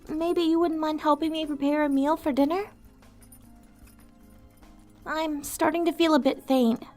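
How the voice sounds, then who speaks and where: A woman voicing a gentle, weary female vampire outcast in an ASMR roleplay, soft-spoken, wistful and earnest, with formal wording. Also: high voice. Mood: tired, reassuring.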